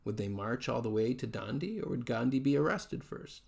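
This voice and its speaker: A middle-aged man reads nonfiction aloud in a measured, explanatory way, recorded at a desk in a living room at home. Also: mid-range voice, expressive, gravelly, darkish tone. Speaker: author reading a book aloud. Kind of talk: read-aloud nonfiction narration.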